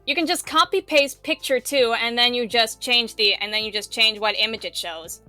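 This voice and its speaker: A woman's voice: a little high, normal speed, sharp tone, no echo, faint music behind. A woman giving a casual tutorial, explaining step by step in an eager, affirming way. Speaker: visual novel tutorial teacher.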